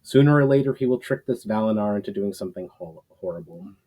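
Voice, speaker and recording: A man plays an age-old fallen warlord from a fantasy tale, tired and full of repentance. The speech is formal and earnest in a low, soft-edged voice. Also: expressive, fast talker, rough recording. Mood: worried.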